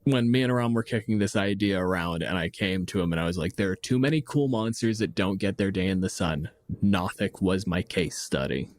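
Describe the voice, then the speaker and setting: Blunt, sweary man with a lowish voice. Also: brisk, dry recording, clean studio sound. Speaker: podcast co-host. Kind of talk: casual opinionated tabletop gaming chat.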